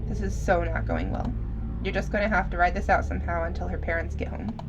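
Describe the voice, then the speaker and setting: A woman's voice: fairly low pitch, steady tone, fast talker, noisy background. A woman hosting a let's play, reading visual novel narration aloud and adding casual reactions. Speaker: gaming video host. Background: game music.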